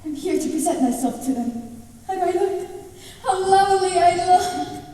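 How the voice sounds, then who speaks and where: Young woman as insistent spurned lover, high voice. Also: lively intonation, normal speed, warm tone. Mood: proud, expectant. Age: young adult. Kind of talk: school stage play acting. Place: indoor theatre stage. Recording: echoey theatre stage, distant mic.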